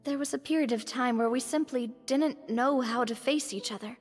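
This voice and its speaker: A woman's voice: high voice, moderate pace. An actress playing an earnest young noblewoman in game dialogue. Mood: wistful. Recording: game dialogue with long reverb and faint music.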